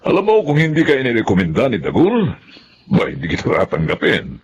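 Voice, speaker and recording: A man with a Filipino accent and gravelly voice playing a brisk, kindly boss in a TV drama. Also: medium pitch, huge pitch swings. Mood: brisk, admonishing. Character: brisk, kindly male boss hiring a new cashier.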